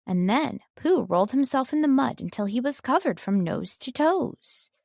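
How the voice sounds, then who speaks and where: A woman reading scripted story narration as an audiobook narrator, with expressive, dramatic storytelling. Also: high voice, normal speed, gravelly, no room sound, clean studio sound. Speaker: audiobook narrator, voice-over artist.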